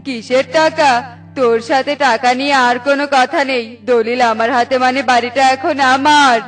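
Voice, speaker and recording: A woman's voice: high-pitched, steady tone, normal speed, fairly bright tone, soft background music. A woman voicing a greedy, scheming male crow in a cartoon, with a wheedling, taunting manner. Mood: gloating, menacing. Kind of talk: cartoon character voice acting.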